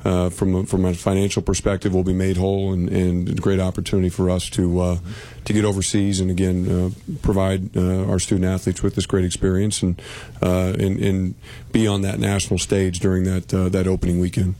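A man, a university athletic director, answering in a measured, steady way on a radio interview. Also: deep voice.

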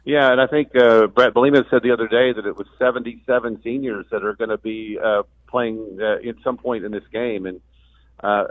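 A man's middle-pitched voice comes through a dry, phone-like connection. He is a sportscaster being interviewed on sports talk radio, explaining things with halts and repeated words. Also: no echo. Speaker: radio play-by-play announcer as guest. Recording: thin phone-like line.

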